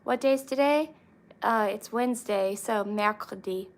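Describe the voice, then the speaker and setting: A young woman chatting casually with her viewers, relaxed and reading comments aloud. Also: fairly high pitch, normal speed. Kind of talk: casual chat with live chat.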